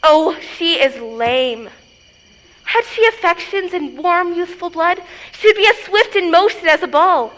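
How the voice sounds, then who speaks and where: A woman plays an excitable, lovestruck teenage girl in a Shakespeare stage drama. Her voice is extremely high and she draws the verse out slowly, with emphatic, pleading impatience. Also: heavily acted, low-quality recording. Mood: restless impatience. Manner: theatrical, drawn-out, emphatic delivery.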